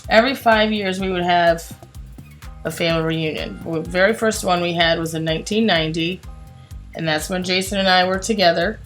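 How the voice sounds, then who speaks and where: A woman's voice: on the low side, steady tone, brisk, soft background music. An American woman in her forties chats casually as a co-host, telling personal stories in a candid, self-mocking way. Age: 40s. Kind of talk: casual conversational storytelling.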